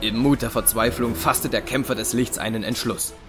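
A man's voice: medium pitch, fairly even intonation, moderate pace. A man giving scripted narration for a science fiction audio drama. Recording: music behind the voice.

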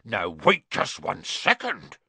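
Gruff, booming giant with rustic speech. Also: male voice, huge pitch swings, normal speed, pushed and bright, no room sound, full character voice. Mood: gruff warning. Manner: rustic dialect, booming and growling. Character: huge rough giant man, gruff, hot-tempered, warm-hearted. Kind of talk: audiobook character voice.

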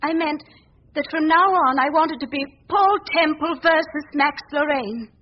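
An actress playing an earnest, anxious young woman journalist in a 1940s radio drama, speaking gravely and dramatically. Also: high-pitched, a little slow. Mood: determined. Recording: muffled old 1940s radio recording.

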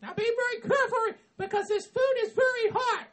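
A man's voice: very high-pitched, a little fast, fairly bright tone, a little hiss or hum. A man voicing a bossy hillbilly woman who shrilly orders everyone around.

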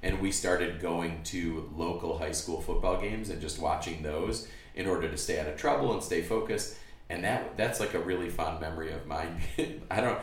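Deep-voiced American man, distant in a roomy space. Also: moderate pace. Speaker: podcast co-host. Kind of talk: casual podcast banter. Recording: roomy, distant mic, faint noise.

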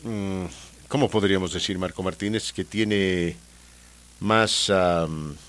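A man with a fairly low voice hosts a radio talk show. He talks in a relaxed, chatty way and often stalls on fillers and restarts mid-sentence. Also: slow pace. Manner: hesitant, many fillers and restarts.

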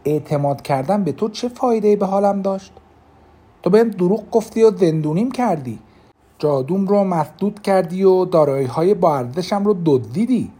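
A man's voice: mid-range voice, big pitch swings, warm tone, breathy edge. A man voicing a defiant young woman archer who speaks fiercely and commandingly, in an audiobook. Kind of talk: audiobook character reading.

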